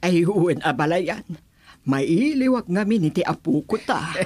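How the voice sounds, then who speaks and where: A woman playing a worried, determined mother and grandmother in a radio drama, husky-voiced, with an Ilocano Filipino accent. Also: fairly low pitch, big pitch swings, a little slow, a little mellow, dry recording, exaggerated voice. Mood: earnest, resolute. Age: middle-aged.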